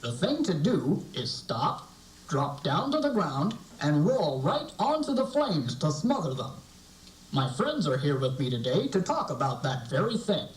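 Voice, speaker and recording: A man voicing a friendly puppet character who teaches children fire safety in an animated, instructive way. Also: on the high side, a little slow. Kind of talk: scripted puppet show narration for children. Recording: echoey, distant puppet show sound on VHS.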